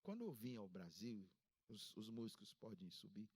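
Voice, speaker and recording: A man in his fifties from Ghana, preaching a sermon in a church service with a Ghanaian accent, with emphatic rises in pitch. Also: very animated, moderate pace, a little mellow, breathy edge. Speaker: pastor.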